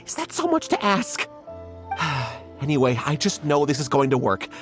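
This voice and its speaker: An actor playing an earnest, hopeful struggling writer in an anime game, with a pleading, dramatic manner. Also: male voice, a little high, huge pitch swings, moderate pace, over music.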